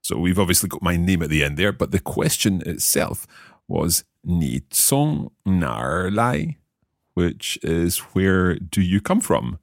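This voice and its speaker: Slow, deep Scottish man teaching. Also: big pitch swings, dry recording, studio quality. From Scotland. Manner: slow, careful, repeats phrases aloud. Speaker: language learner and podcast host. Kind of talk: podcast language lesson.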